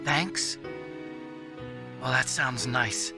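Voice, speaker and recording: A man's voice: medium pitch, very slow. A man playing an earnest, boyish tech geek in a video game, nervous yet resolute. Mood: wistful, determined. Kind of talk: dramatic video game voice acting. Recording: music behind voice.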